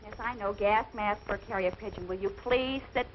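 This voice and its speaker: An actress playing a no-nonsense, dryly exasperated secretary with an American accent in a 1940s comedy. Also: female voice, medium pitch, brisk, close to an everyday voice. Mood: brisk, exasperated. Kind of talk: 1940s comedy film acting. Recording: muffled vintage film soundtrack, faint noise.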